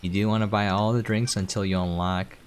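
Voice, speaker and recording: Low-voiced man giving casual gameplay commentary. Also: fairly even intonation, brisk, slight background noise. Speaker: game preview host. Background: game music.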